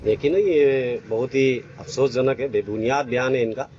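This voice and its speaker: A man giving his opinion in a street interview, measured and formal. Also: medium pitch, darkish tone, muffled recording, soft background music. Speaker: interviewed local resident.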